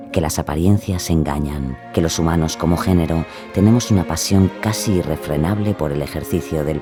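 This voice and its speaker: A man reading a scripted documentary introduction in a solemn, measured way. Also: a bass voice. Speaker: podcast narrator. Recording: music bed behind the voice.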